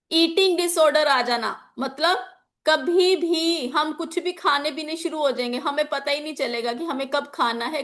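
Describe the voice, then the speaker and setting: A woman's voice: high-pitched, fairly bright tone. A woman with a sore throat teaches an informal online class, explaining with examples, repeating key phrases and mixing in English terms. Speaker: teacher of an online class on chakras and magical oils. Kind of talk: informal spoken lesson with examples.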